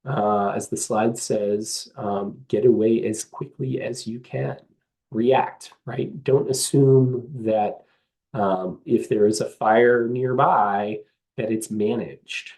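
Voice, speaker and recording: A hoarse man giving a webinar talk as staff of a trail association, earnest, with frequent ums and uhs. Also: lowish voice, steady tone, slow pace, darkish tone. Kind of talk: webinar presentation. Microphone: video call.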